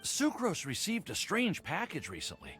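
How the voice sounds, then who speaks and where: Geeky young alchemist: lively, slightly high voice, friendly and sheepish, anime game role. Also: male voice, normal speed. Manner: enthusiastic, rambling, sheepish. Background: music.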